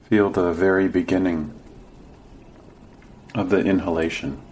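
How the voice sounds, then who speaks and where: A man leading a guided meditation as a teacher, giving gentle, sparse instructions with long pauses. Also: low voice, fairly even intonation, a little hiss or hum.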